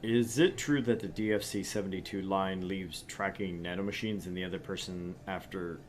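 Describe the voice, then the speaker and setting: A man's voice: low-pitched, moderate pace, slight background noise, close to an everyday voice. A man voicing a polite, reserved male bar patron with a courteous, hesitant and formal way of talking.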